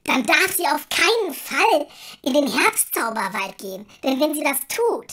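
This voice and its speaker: A woman playing an excitable, dramatic elf in a comedy audio play, chatty and theatrical. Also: sits high, normal speed. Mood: alarmed, dramatic.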